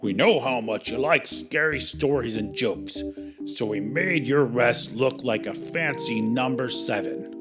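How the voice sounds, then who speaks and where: A man voicing a kindly, jokey father music note in a children's story, explaining things deliberately. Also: mid-range voice, natural voice. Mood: earnest, kindly.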